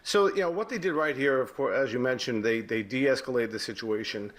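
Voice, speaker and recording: A man with an American accent, a former police detective giving halting, explanatory commentary in a live news interview. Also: medium pitch, fairly bright tone.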